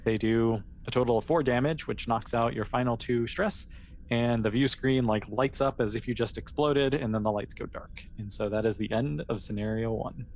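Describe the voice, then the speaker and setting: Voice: mid-range voice, normal speed, a little mellow. A game master running a tabletop roleplay in a casual, hesitant, conversational way, explaining and reassuring as they narrate. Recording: clear background noise.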